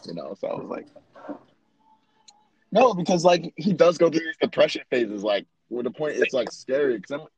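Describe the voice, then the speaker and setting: A man's voice: a little high, big pitch swings, moderate pace, darkish tone, slightly rough, no echo, poor audio. A man chatting casually with a friend as podcast co-host, joking, boastful and swearing freely. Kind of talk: casual chat between friends. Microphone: remote call mic.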